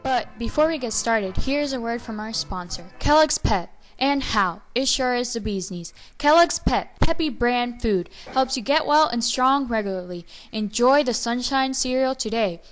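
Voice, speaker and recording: Jazz-age radio co-host, played by a young woman: high voice, scripted read-aloud delivery, period slang. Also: expressive, normal speed, hardly any pauses, music in the background, natural voice. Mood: informative. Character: slangy 1920s radio co-hostess reading news and sponsor spots. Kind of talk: scripted 1920s radio show acting.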